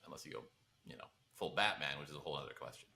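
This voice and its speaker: A man's voice: extremely low pitch, very fast, vocal fry, bright, forceful tone. A man talking casually as a podcast co-host, in an informal conversational style. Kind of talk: casual chat.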